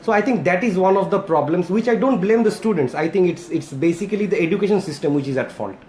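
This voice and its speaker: High-voiced Indian-accented man asking questions. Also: fast talker, a little mellow. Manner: polite, addresses the other man as sir. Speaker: interviewer and co-trainer. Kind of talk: casual interview. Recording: echoey room with faint ambient noise.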